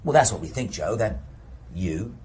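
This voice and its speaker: An actor playing a police inspector in a radio drama, questioning with false patience in a deliberate, repetitive, probing way. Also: male voice, lowish voice, animated, a little mellow, a little hiss or hum, close to an everyday voice. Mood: coaxing, insistent. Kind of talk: naturalistic radio drama acting.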